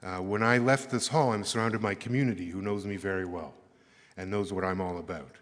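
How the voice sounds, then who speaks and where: A Canadian man giving earnest closing remarks at an arts summit, halting and thoughtful, with many fillers. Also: sits low. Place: large conference hall. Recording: echoey hall with long reverb.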